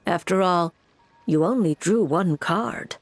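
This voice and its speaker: A woman's voice: lowish voice, slow, dry recording, soft background music. A woman acting a cool, composed and blunt fortune-telling mage with a dry manner in a video game. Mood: solemn, advising. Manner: composed, matter-of-fact, dry.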